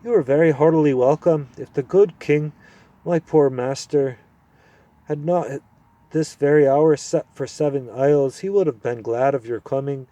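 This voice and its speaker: A man voicing a deaf, irritable old male dwarf who grumbles loudly and impatiently, in a storybook reading. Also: fairly high pitch, moderate pace, no room sound, pitched above the performer's own voice, natural voice. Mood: welcoming.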